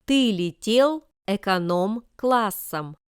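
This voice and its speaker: A woman reads short example sentences for a language lesson. Her delivery is slow and deliberate, with every word carefully formed. The voice is crisp and smooth, in a dry recording with no room sound. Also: medium pitch, animated, no echo. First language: Russian. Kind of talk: scripted example sentences read for learners.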